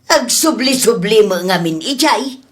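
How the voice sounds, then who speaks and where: High-voiced gossipy mother, acted by a woman. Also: lively intonation, measured pace. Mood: nosy, disapproving. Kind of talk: Ilocano comedy radio drama acting.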